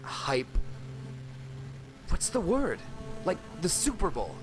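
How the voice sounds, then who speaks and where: A rambling, rattled delivery driver, swinging pitch. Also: male voice, wildly swooping pitch, slow pace, exaggerated voice. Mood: rambling, wry. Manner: rambling, self-correcting, muttering to himself. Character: rattled, rambling delivery driver disoriented after head injury. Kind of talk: post-apocalyptic audio drama monologue. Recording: radio transmission with music behind.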